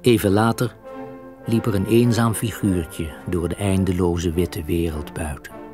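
A man's voice: sits low, steady tone, measured pace, over loud music. A man narrating a scripted children's story.

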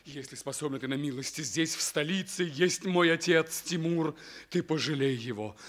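An actor playing an ardent, lovelorn, noble young prince in fervent, declamatory radio theatre. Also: male voice, fairly high pitch, a bit bright, lightly acted. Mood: anguished, pleading. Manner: declamatory, fervent, drawn-out delivery. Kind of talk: theatrical radio stage acting.

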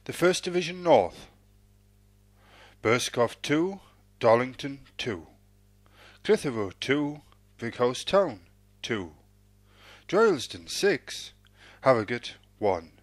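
A man reading out football results as an announcer, in a measured, formulaic sing-song cadence. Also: medium pitch, no echo.

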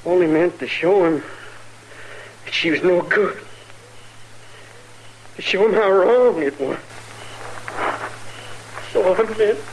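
A young male westerner, defensive and bitter, with a rural American accent. His voice is high and agitated. It is an old radio drama recording with light noise. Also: takes it slowly, long pauses. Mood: broken, bitter. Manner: agitated, protesting. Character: bitter, defensive young frontier man, guilty and desperate. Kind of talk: 1950s western radio acting. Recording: noisy vintage radio recording.

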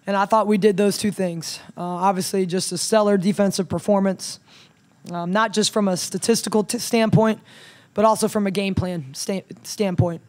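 A woman in her thirties, a head coach, talking through a game at a postgame press conference in a measured way, with many ums and restarts, into a table mic. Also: medium pitch, darkish tone. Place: press room in front of a logo backdrop. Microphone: table mic on a stand.

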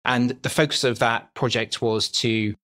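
A man's voice: medium pitch, fairly even intonation, unhurried, pushed and bright. A man guest explaining his youth project in an earnest, explanatory way. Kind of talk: explanatory interview talk.

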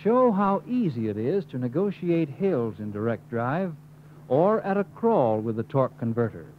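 A man's voice: mid-range voice, very animated, moderate pace. An American man reading scripted instructional narration in an emphatic manner, as the narrator of a training film. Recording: muffled, hissy 1950s film soundtrack.

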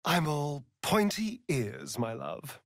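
A man's voice: a little high, very animated, takes it slowly, dry recording, clean studio sound, full character voice. A man playing a sly, vain, sardonic vampire rogue in a fantasy video game, with an arch, theatrical drawl. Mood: seductive, sly.

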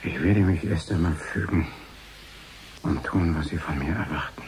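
Casting: deep, brooding, knowing man, radio-play monologue, hushed and unhurried, faint noise behind. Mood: resigned. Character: mysterious, knowing man addressing unseen visitors. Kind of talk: intimate radio drama monologue.

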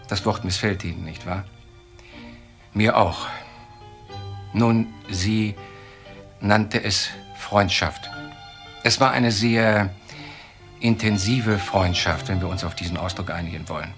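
An actor playing a bitter husband in a crime radio play, choosing his words with deliberate irony. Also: lowish voice, fairly even intonation, fairly bright tone, faint music behind. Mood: ironic, bitter. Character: bitter, measured husband describing his wife's friendship. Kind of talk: German crime radio drama dialogue.

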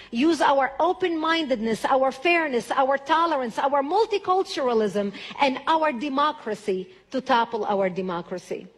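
A middle-aged woman with a Lebanese accent delivering an emphatic prepared speech with rhetorical repetition. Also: on the high side. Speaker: public speaker. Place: large auditorium stage at a lectern.

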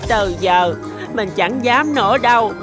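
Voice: mid-range voice, unhurried, natural voice. A woman voicing a quarrelsome cartoon animal that whines when hurt, in an exaggerated, emphatic manner. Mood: sorry, sulky. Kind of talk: animated fable acting. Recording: music behind the voice. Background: music.

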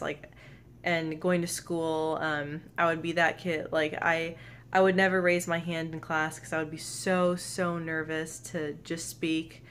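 Young woman, own voice, low-ish pitch, stutters with sound repeats and blocks, earnest camera-facing vlog, faint hiss behind. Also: a bit bright. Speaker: vlogger. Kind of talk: personal vlog talk to camera. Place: bedroom, indoors. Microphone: camera close in front.

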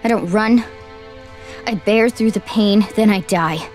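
A woman's voice: mid-range voice, unhurried, darkish tone, faint music behind, lightly acted. A woman voicing a withdrawn, resigned young ninja girl in an anime game dub, speaking in clipped, guarded phrases. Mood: cold, resigned.

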